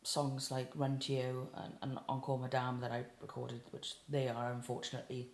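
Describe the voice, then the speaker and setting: A woman's voice: a bass voice, moderate pace. A woman in her late twenties from South Wales, with a Welsh accent, chatting casually as a guest singer-songwriter with lots of fillers. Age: late 20s. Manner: chatty, relaxed, with frequent fillers. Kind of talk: casual interview chat. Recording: roomy echo.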